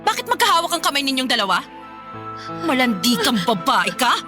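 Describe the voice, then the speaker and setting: A woman's voice: high-pitched, sing-song, a little fast, music in the background. An actress playing a snobbish, furious middle-aged mother in a Filipino radio drama, scornful and domineering. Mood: outraged.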